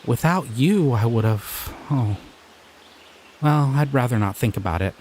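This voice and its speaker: A young man voicing a shy, excitable male slime creature in an audio roleplay, talking in an earnest, flustered, giggly way. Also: medium pitch, measured pace, a little hiss or hum, lightly acted. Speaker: voice actor.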